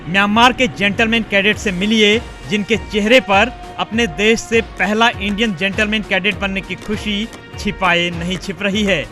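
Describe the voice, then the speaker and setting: A man's voice: high-pitched, steady tone, racing, hardly any pauses, bright, forceful tone, faint music behind. A man reading a scripted news voice-over as the presenter.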